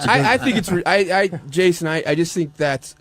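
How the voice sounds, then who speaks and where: A man's voice: a little high, lively intonation, a little fast, over a noisy crowd. Hesitant man playing devil's advocate. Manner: hesitant, provocative arguer.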